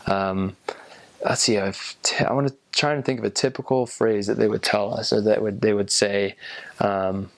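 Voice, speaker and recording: Young guy rambling about his mission days, low gravelly voice, lots of ums, dry sound. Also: steady tone, no room sound. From United States. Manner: rambling, halting, with many ums. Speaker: returned missionary. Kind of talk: casual talk to camera.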